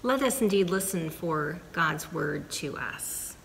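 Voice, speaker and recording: A middle-aged woman pastor leading a prayer and reading scripture in a measured, deliberate way, on a lapel mic in a church sanctuary. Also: deep voice, lively intonation, gravelly, a bit bright. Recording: reverberant sanctuary, faint room noise.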